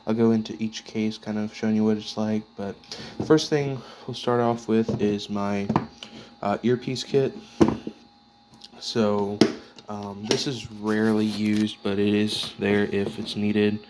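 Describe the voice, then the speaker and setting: Low, flat-toned man over noisy background. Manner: halting, piecemeal delivery while handling items. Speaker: radio hobbyist showing his gear. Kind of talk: casual, unscripted show-and-tell of equipment. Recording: clearly noisy background with clicks.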